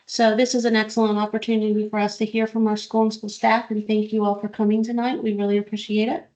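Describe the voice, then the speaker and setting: A woman leading a school board meeting, reading a mission statement slowly and deliberately, then introducing the next speaker. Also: mid-range voice, little pitch movement, darkish tone, rough recording. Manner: measured, deliberate reading, friendly and thankful. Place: public meeting room.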